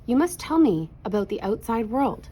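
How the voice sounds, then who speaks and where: A gracious female sugar plum fairy from a storybook, as a woman reader plays her. She sounds polite, gentle and curious, with a slightly husky voice. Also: a little high, big pitch swings, normal speed.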